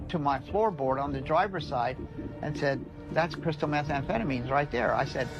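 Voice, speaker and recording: A man's voice: on the high side, rough recording. A man telling a personal anecdote in a storytelling style, in a played clip. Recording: clip with clear music underneath. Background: music.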